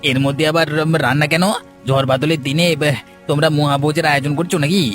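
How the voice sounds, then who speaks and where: Man as a higher-pitched plain-spoken village neighbour. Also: fairly even intonation, fairly quick, music in the background, close to an everyday voice. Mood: matter-of-fact. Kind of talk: Bengali cartoon dubbing.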